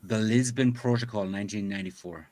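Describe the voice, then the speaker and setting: A man's voice: on the low side, fast, darkish tone, dry recording. A man chatting casually as a co-host, with a blunt, sweary way of talking. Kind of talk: casual chat.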